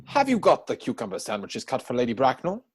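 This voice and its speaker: A man acting a witty, idle young gentleman from a period comedy. His voice is slightly husky and swings widely in pitch, with arch, playful self-satisfaction in a theatrical reading. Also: huge pitch swings, fairly quick. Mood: breezy, self-satisfied. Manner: mannered, arch, theatrical delivery.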